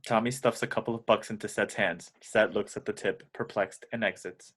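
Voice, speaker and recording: A man's voice: on the low side, steady tone, normal speed, fairly bright tone, husky. A man narrating scripted stage directions at an amateur table read.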